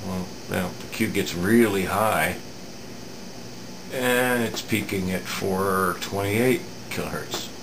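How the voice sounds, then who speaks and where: A middle-aged man giving an unscripted hands-on repair demonstration in a halting, matter-of-fact way, recorded at an indoor workbench. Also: low voice. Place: indoor home electronics workbench. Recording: steady room hum, air-conditioning noise.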